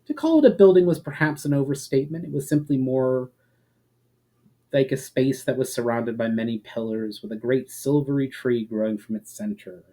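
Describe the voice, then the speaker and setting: A man giving a dramatic, deliberate storybook narration of a fantasy tale, as an author reading aloud. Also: medium pitch, expressive, dark tone.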